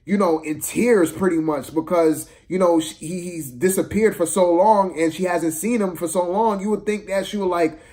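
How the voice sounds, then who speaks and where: A man's voice: high voice, normal speed, hardly any pauses, dark tone. A man giving a casual, opinionated movie review, exasperated and slangy, repeating phrases for emphasis.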